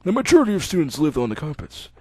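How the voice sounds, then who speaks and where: A man doing a calm older doctor. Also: on the high side, rapid-fire, slightly rough, no echo, heavily acted. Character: calm older male doctor, gently formal.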